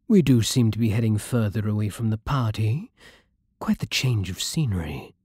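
A British man playing a courtly, possessive vampire king in an intimate ASMR roleplay, silky, formal and commanding with quiet menace. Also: lowish voice, dry recording, exaggerated voice. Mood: quietly menacing. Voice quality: breathy, husky.

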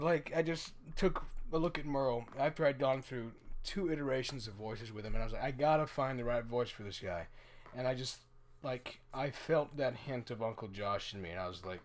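A man, a voice actor, chatting casually and earnestly in a halting, self-effacing way. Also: mid-range voice. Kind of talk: casual personal anecdote.

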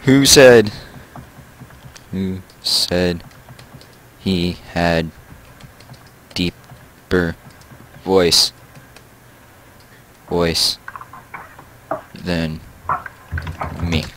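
A man's voice: low voice, fairly even intonation, very slow, pauses often, a bit bright, slight background noise, low-quality recording. A teenage boy chatting casually while playing a game, goofy and teasing, laughing often. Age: teens. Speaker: gamer recording gameplay. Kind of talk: casual gameplay chat.

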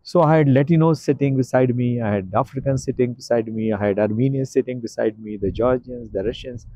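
A man's voice: mid-range voice, fairly quick, dark tone, dry recording, low-quality recording. An older man, a guest in an outdoor interview, speaking earnestly and emphatically, often repeating himself for emphasis, in a stone courtyard.

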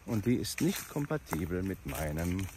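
A man's voice: sits low, big pitch swings, normal speed, noisy background. A man narrating a casual travel vlog in a dry, grumbling way. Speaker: travel vlogger.

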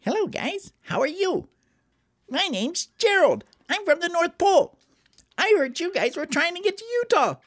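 A woman's voice: extremely high pitch, sing-song, moderate pace, voice pushed up. A woman voicing a chatty, kindly little elf in a children's Christmas story. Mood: cheerful greeting. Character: friendly, reassuring little pointy-eared Christmas elf.

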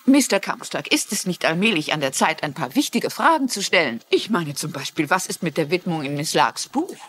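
A woman's voice: deep voice, lively intonation, a little fast, husky. An actress playing an older woman crime novelist and sleuth in a dubbed TV mystery, polite but firm and full of questions. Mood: firm, insistent. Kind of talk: dubbed TV crime drama acting.

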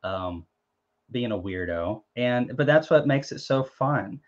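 A man's voice: mid-range voice, lively intonation, slow pace. Young man hosting a lo-fi video call. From Tennessee, USA. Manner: rambling, joking, many fillers and restarts. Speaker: host. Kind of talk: casual live chat. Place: small home room, indoors. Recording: dull lo-fi stream audio.

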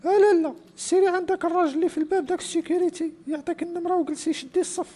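A man's voice: very high voice, fairly even intonation, very fast, warm tone. A man voicing a curt, bossy young female office clerk in a comedy cartoon, with a northern Moroccan accent. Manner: curt, dismissive.

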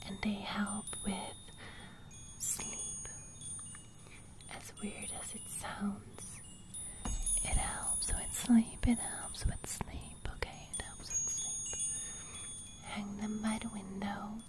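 Young woman whispering slowly, ASMR. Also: medium pitch, level tone. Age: young adult. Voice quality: breathy, unvoiced whisper. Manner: slow, gentle, repeating soft phrases. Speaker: ASMR host roleplaying a sleep fairy. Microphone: close studio microphone.

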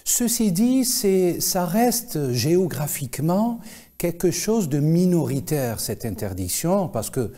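A man in his forties giving explanations as an expert interview guest, with formal, hesitant, deliberate phrasing. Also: a little high, sing-song, warm tone. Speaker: guest expert, Islamic scholar. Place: TV studio, indoors.

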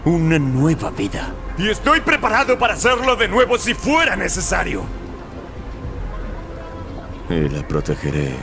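A man's voice: high voice, wildly swooping pitch, unhurried, long pauses, music in the background, full character voice. A man voicing a grim, resolute survivor in a dramatic Spanish video game dub, solemn and intense. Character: grim, resolute male survivor hardened by the zone.

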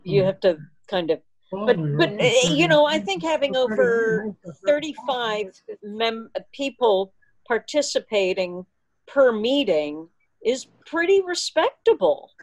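Older woman chatting, slightly high voice. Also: sing-song, a little slow, dull audio. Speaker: meeting organizer. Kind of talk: casual video-call chat. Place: online video meeting. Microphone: video call.

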